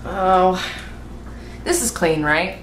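A young woman goofing around while she cooks in her home kitchen, talking to the camera in a silly, self-mocking way with loud outbursts. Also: on the low side, extremely slow. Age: young adult. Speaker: vlogger. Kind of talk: goofy cooking vlog. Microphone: camera across the kitchen. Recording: echoey kitchen, audible noise.

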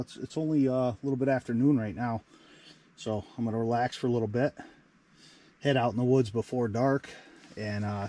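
Relaxed man rambling from a tent. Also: mid-range voice. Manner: relaxed, rambling with fillers and restarts. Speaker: outdoor vlogger. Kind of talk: casual camp vlog. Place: inside a canvas hot tent in autumn woods.

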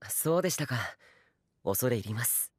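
A man's voice: mid-range voice, lively intonation, moderate pace, breathy edge, no echo, studio quality. An actor playing a modest, courteous young noble swordsman in an anime audio drama, speaking politely and mildly. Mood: humble, polite. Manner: polite, deferential, mild.

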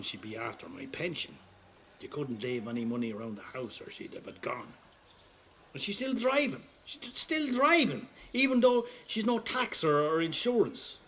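An actor playing an older Irish pensioner, roguish and callous, telling a rambling, sweary, wry anecdote in a casual dramatic monologue. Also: male voice, medium pitch, vocal fry, a bit bright, a little hiss or hum. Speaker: storyteller.

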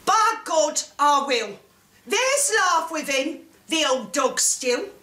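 A high-pitched, scolding mother from a storybook , as a woman reader plays her. She is greedy and exasperated, exclaiming in a bright, forceful voice. The room adds some echo. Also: big pitch swings, slow pace, heavily acted. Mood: defiant. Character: scolding, hot-tempered, greedy mother from a fairy-tale. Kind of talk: theatrical storybook reading.

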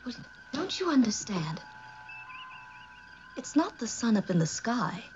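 A woman's voice: on the high side, very animated, unhurried. An actress playing an earnest female starship officer in a 1960s television drama. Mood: earnest, moved. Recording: dull vintage TV soundtrack with music.

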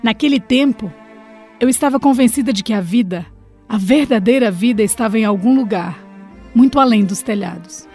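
A woman's voice: fairly high pitch, normal speed, darkish tone, soft background music, natural voice. A woman playing an earnest, idealistic young revolutionary woman in a radio drama. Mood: wistful. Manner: earnest, reflective.

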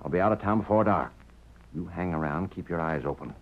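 An actor playing a scheming, surly Western villain with an American accent, curt and bossy, in a 1930s radio drama. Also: male voice, low voice, a little fast. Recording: tinny, band-limited 1930s radio recording.

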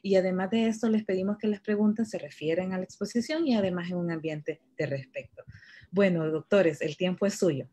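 Female moderator, lowish mellow tone, courteous and even-paced. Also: husky. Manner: polite, measured. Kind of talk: moderating a virtual panel. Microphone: video call.

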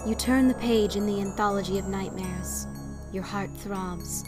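A woman's voice: medium pitch, fairly even intonation, unhurried. Woman reading horror stories over faint music. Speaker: story reader. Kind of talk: dramatic horror story narration.

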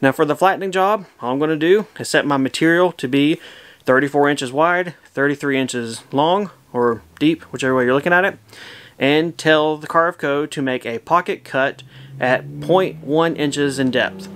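A man in his thirties casually explaining a machine setup in his home woodshop, easygoing and plainspoken, thinking out loud. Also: a little high, normal speed, clear, resonant tone. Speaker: woodworking channel host. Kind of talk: casual how-to narration.

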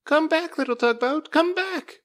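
An eager little boy from a children's storybook, played by a man. The voice is pushed very high, calling out to his toy boat. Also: unhurried, a bit bright. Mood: urgent calling.

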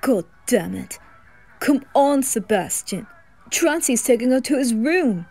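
A woman's voice: on the high side, lively intonation, a little slow, darkish tone, voice pushed down. A woman voicing a grumpy, exasperated young noble boy in an anime fan roleplay, muttering irritably. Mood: urgent.

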